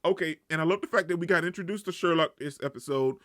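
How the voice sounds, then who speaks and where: A man giving a casual, excitable reaction and review as a channel host, often repeating himself for emphasis. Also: fairly high pitch, fast, no echo, studio quality.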